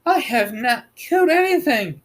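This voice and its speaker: A woman's voice: high voice, expressive, measured pace, voice pushed up. A woman voicing an innocent, polite and timid little girl in a storybook read-aloud. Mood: polite, hesitant.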